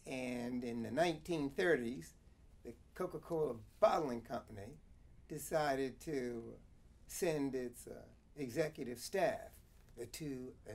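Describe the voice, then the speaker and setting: Man in his seventies, American accent, creaky voice, deliberate formal phrasing, interview storytelling. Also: medium pitch, a little mellow. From Connecticut, USA. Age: 70s. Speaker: interviewee, former attorney. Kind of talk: oral history interview, personal recollection.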